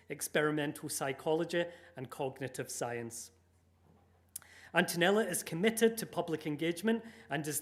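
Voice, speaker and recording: A man with a fairly high voice hosts an evening lecture. He reads a formal welcome and speaker introduction in an upbeat, announcer-like way. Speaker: event host. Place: lecture room with audience.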